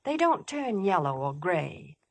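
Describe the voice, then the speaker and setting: A woman's voice: fairly low pitch, big pitch swings, a little slow, no echo. A woman delivering scripted 1950s radio advertising chat as a polished, enthusiastic sponsor spokeswoman.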